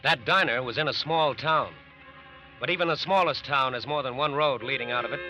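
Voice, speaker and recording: An actor as the earnest, dramatic narrator of an old radio crime drama, emphatic and deliberate. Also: male voice, medium pitch, sharp tone, soft background music, poor audio. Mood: tense storytelling. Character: earnest, dramatic male narrator of FBI manhunt. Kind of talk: scripted narration, 1940s-50s radio drama.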